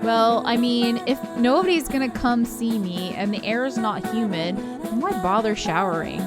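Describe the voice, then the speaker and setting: A woman's voice: a little high, normal speed, over loud music, natural voice. A woman voicing an anxious, awkward, self-doubting girl in a visual novel, hesitant and introspective. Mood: sheepish. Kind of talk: visual novel character read-aloud.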